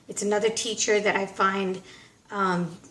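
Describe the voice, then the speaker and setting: Hoarse woman vlogger in a roomy space. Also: mid-range voice, fairly even intonation, measured pace, a bit bright. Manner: rambling, earnest. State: slightly hoarse from a cold. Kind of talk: casual reflective monologue to camera. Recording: roomy, distant mic, faint background noise.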